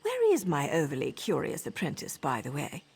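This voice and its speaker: An actress playing a poised professional assassin and mentor in a video game, with a wry, measured, self-assured delivery. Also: female voice, sits low, huge pitch swings, darkish tone, slightly rough, soft background music, heavily acted. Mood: wry, teasing.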